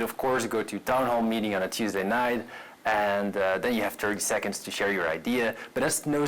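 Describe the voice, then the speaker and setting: A man's voice: lowish voice, rough recording. A man in his late twenties from Brussels with a Belgian accent, speaking earnestly about himself in an interview, often repeating words as he goes. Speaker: entrepreneur, interviewee. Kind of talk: personal profile interview to camera.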